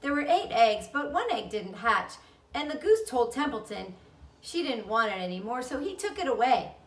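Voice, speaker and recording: Woman voicing a chatty little girl, lively and high. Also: normal speed, roomy sound, far from the mic, rough recording. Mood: eager. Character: chatty, earnest young girl, fond of barn animals.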